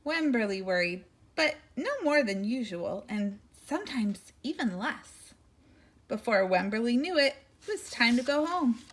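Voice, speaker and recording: A woman's voice: fairly high pitch, animated, a little slow. A woman reading a picture book aloud expressively, like a teacher talking to young children, with asides to her listeners.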